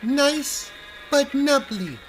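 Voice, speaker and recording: A man voicing a small, shrewd, polite talking fish in a small squeaky storybook voice. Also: animated, drawn-out words, faint music behind, full character voice, poor audio. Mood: sly, polite.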